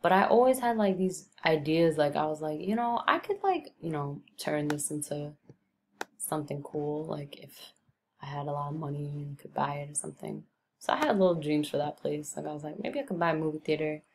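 A young woman from Brooklyn, New York, narrating a screen-recorded tour of her neighborhood in a relaxed, rambling way with many ums and likes. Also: sits low, a little hiss or hum.

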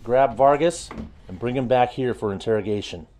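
An actor playing a brisk, authoritative intelligence chief briefing his team in a video game cutscene, businesslike and commanding. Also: mid-range voice, a little mellow, slight background noise, close to an everyday voice.

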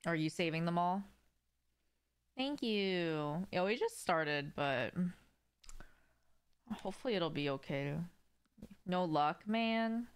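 A woman's voice: lowish voice, expressive, slow, pauses often, clear, resonant tone. A young woman live streaming a game, chatting casually and speaking directly to her viewers. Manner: conversational, addressing viewers directly. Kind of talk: casual livestream chat while gaming. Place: indoor room at home.

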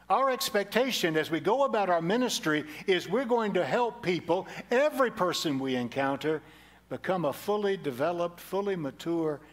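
An older male pastor delivers a sermon on a headset mic from a church stage. His voice sits a little high for a man, and he preaches earnestly, repeating key phrases to drive them home. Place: large church sanctuary stage at a lectern.

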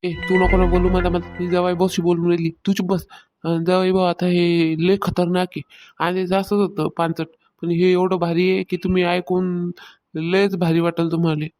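A woman's voice: lowish voice, little pitch movement, moderate pace, darkish tone, soft background music, lightly acted. A woman voicing a meddling, gossiping older village neighbour in a comedy cartoon, with a rural Maharashtrian accent and a taunting, insistent way of telling tales. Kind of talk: Marathi comedy cartoon dialogue.

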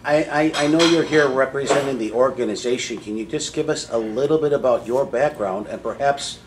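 A man's voice: mid-range voice, a little fast, a little hiss or hum, rough recording. A man moderating a meeting, asking friendly, direct questions. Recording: dish and cutlery clatter behind.

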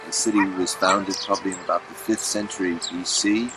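Deep-voiced man leading a dig. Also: fairly even intonation, noisy background. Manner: measured, hesitant with many fillers. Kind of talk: documentary explanation. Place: outdoor excavation site.

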